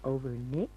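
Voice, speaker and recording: Very low, dark-voiced femme fatale. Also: female voice, slight background noise, exaggerated voice. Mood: cool, guarded. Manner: dramatic, emotive. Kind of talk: 1950s radio crime drama acting. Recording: muffled, humming old radio recording.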